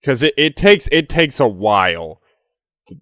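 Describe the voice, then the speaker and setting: A man's voice: mid-range voice, very animated, measured pace, fairly bright tone, dry recording, studio quality. A man talking casually as a player in a tabletop role-playing game.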